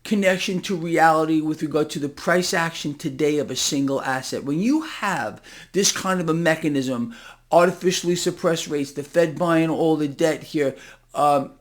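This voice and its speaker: High-pitched, emphatic man giving market commentary. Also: moderate pace. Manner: emphatic, incredulous, conspiratorial, addresses listeners directly. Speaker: host of a financial market commentary channel. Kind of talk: impassioned unscripted market commentary to viewers.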